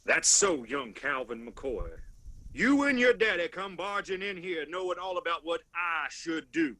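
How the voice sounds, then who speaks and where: Bright, weary Appalachian sheriff. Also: male voice, a little high, expressive, measured pace. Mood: weary, pragmatic. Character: weary, pragmatic, self-preserving Appalachian county sheriff. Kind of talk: radio drama acting.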